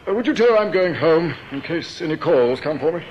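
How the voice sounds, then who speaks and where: An actor with an English accent playing a stiff, earnest, touchy bank manager in a radio drama, formal, clipped and a little hesitant. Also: male voice, on the high side, lively intonation, a little fast, highs cut off, slight background noise, close to an everyday voice. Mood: weary, apologetic. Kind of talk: British radio drama acting.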